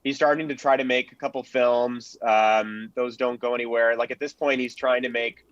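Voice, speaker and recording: A man's voice: mid-range voice, steady tone, moderate pace, fairly bright tone, no room sound. A man speaking as a panel historian, explaining things casually and informally. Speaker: panelist, film and radio historian. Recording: dry, slightly dull lo-fi mic.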